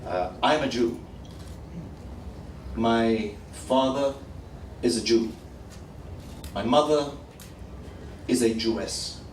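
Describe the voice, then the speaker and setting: Man, nasal from a cold, slow measured delivery, roomy hall, distant mic, faint background hum. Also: mid-range voice. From Gibraltar. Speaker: speaker at a community event. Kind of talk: formal prepared address. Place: hall at a public event.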